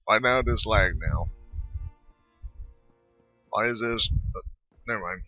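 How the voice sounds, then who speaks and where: A man doing casual gameplay commentary, halting and rambling, tripping over words as he thinks aloud and reads text. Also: lowish voice, no room sound, muffled recording, soft background music. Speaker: gamer recording a playthrough.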